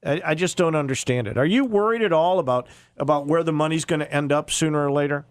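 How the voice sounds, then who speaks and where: A man's voice: fairly high pitch, quick pace, no echo. An American man hosting a radio talk show, questioning and arguing emphatically in an opinionated live interview.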